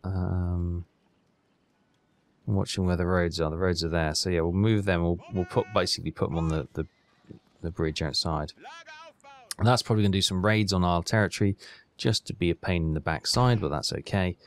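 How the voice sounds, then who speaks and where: Low, creaky-voiced gamer. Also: male voice, fairly even intonation, moderate pace. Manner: thinks aloud in a chatty way. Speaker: gaming video host. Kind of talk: casual gameplay commentary.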